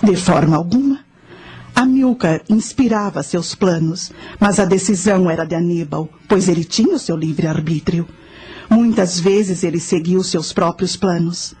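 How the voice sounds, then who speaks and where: An actress playing a knowing spirit guide, telling ancient history and teaching in a measured, solemn way in a radio drama. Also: female voice, fairly low pitch, mellow, slightly rough. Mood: instructive. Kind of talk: scripted radio drama narration.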